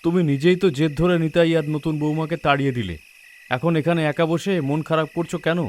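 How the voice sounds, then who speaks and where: A man playing a patient middle-aged village father in a cartoon, reasoning calmly. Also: a little high, fast talker, a little hiss or hum, lightly acted. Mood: reassuring. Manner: calm, reasoning.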